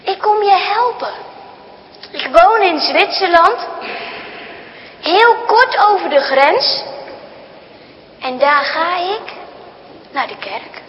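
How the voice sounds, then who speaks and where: A woman voicing a very old, kind man who calms frightened children in a gentle, low murmur. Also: takes it slowly, pauses often, roomy sound, in a large hall, lo-fi sound, slight background noise, full character voice. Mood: soothing.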